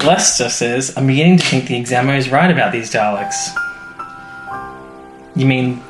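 A man's voice: mid-range voice, moderate pace, music in the background. A man co-hosting a podcast, chatting casually and playfully as he recaps a TV show. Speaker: podcast co-host. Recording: roomy echo, faint background noise.

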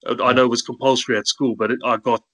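A man's voice: mid-range voice, flat delivery, racing, bright, forceful tone. Man, South African accent, interview guest, cheerful anecdotes, mid-range voice. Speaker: guest, content creator. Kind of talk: casual interview chat.

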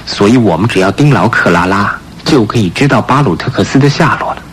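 A man acting a shrewd, authoritative police chief in a Mandarin radio drama, speaking firmly and deliberately. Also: on the low side, lively intonation, a bit bright, slight background noise. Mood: confiding, analytical.

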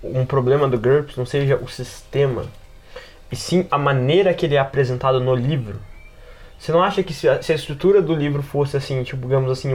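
A man's voice: medium pitch, darkish tone. A man with a Brazilian accent chatting casually on a games podcast, rambling informally with lots of filler words. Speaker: podcast panelist.

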